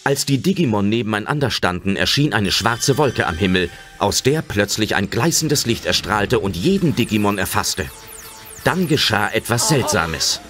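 A man's voice: lowish voice, sing-song, moderate pace. A man as the dramatic storyteller of a German children's adventure audio drama, reading scripted narration. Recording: loud music under the narration.

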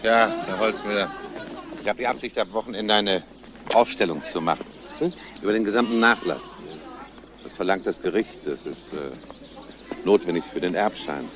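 An actor as a deep-voiced, wary gentleman. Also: normal speed, pauses often, a crowd in the background, natural voice. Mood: formal. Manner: formal, hesitant. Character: reserved, wary male relative under questioning. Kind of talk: German crime radio play acting. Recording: noisy radio play recording.

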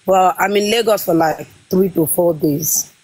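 A woman's voice: on the low side, unhurried, a little mellow, low-quality recording. Sassy young Nigerian woman. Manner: sassy, playfully indignant. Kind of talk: pidgin casual chat. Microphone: phone camera.

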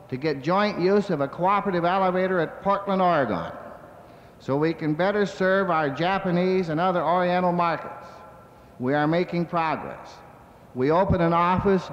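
A man giving a prepared convention speech in a measured, formal way, as an executive of a grain cooperative, on a 1970s recording. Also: sits high. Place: large convention hall. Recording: dull, echoey hall recording from 1970s.

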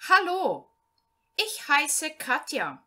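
A woman reading the lines of a young woman introducing herself, slowly and clearly, in a beginner language lesson. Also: sits high, huge pitch swings, sharp tone, pitched above the performer's own voice, heavily acted. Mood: cheerful. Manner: slow, clearly repeated reading for learners. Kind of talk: read-aloud language lesson.

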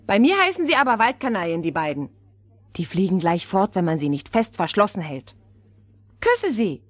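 Husky, willful robber girl. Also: mid-range voice, very animated, normal speed, dry recording. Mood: bossy. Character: wild, bossy, willful young robber girl. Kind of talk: theatrical fairy-tale audio play acting.

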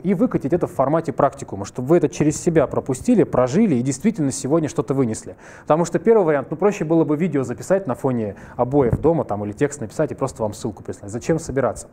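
Fast-talking man with a slight cold. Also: a little high. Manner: lively, conversational, jokes with the audience. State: slightly ill, clears throat. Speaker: workshop presenter. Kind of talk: live masterclass lecture. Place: large wood-panelled hall with a screen. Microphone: headset mic.

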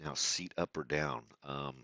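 A man's voice: very deep voice, a little slow, bright, forceful tone, no room sound. A man giving casual commentary to viewers while driving a racing sim at home, sometimes mumbling and trailing off as he adjusts settings.

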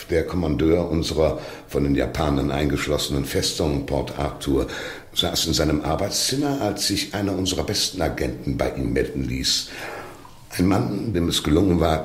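Very deep, pompous Russian police minister. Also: male voice, animated, moderate pace, echoey room. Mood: silky, courtly. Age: older. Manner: pompous, over-polite, formal. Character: suave, silkily menacing older male Russian minister of police. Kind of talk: radio drama acting.

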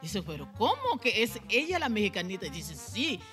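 Animated woman on a TV set. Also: mid-range voice, moderate pace, over music. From Congo, raised in Cuba. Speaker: salon owner, guest. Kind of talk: TV talk show interview. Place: TV studio set. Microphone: handheld mic.

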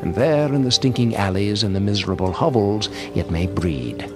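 A man's voice: fairly low pitch, animated, moderate pace, natural voice. A man playing a refined, scheming crime lord in a comic audio drama, suave, grandiose and menacing. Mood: suave menace. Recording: music under the voice.

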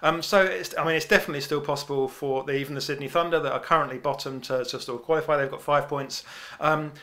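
A man's voice: a little high, fairly even intonation, quick pace, pushed and bright. A man, co-hosting a casual sports podcast and explaining things in loose, approximate terms. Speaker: podcast co-host and analyst.

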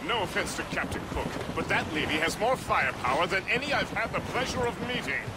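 An actor playing a jovial, talkative first mate on a sailing ship, chatty and full of banter. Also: male voice, high voice, a little fast. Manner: chatty, lively sailor banter. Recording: loud wind and sea noise.

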